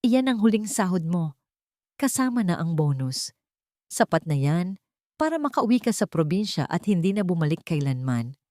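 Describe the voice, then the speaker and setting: A woman's voice: fairly low pitch, very animated, normal speed, dark tone, dry recording, studio quality. A woman voicing a cold, falsely polite, menacing wealthy female villain in an audio story. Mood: icy false politeness. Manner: venomous, controlled, threatening.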